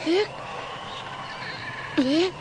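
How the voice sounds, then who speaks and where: An actress playing a frightened, injured woman in a radio drama, halting, tearful and pleading. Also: very high-pitched, heavily acted. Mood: panicked. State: crying, distressed. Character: frightened, desperate woman, injured crash survivor. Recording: noisy vintage radio drama.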